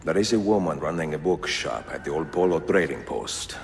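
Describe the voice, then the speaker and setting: An actor playing a seasoned Italian assassin master in a video game, gruff, gallant and measured, with an Italian accent. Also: male voice, rumbling low, animated, exaggerated voice. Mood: earnest, commanding. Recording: clear music behind the voice.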